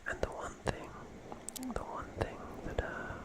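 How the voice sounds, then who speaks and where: An ASMR host speaking in a breathy, unvoiced whisper, gently rambling and softly repeating words in a soothing storytelling style. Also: unhurried.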